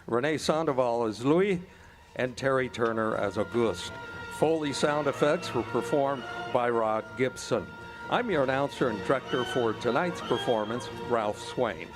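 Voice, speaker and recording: A man serving as announcer for a radio drama, reading scripted credits in an old-time radio style. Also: medium pitch. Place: live amphitheater stage with audience.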